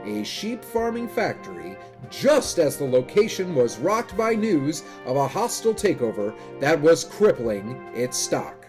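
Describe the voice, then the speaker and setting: A man's voice: a little high, sing-song, measured pace. A man playing a dramatic TV news reporter delivering a scripted report in a drama. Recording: loud music bed behind.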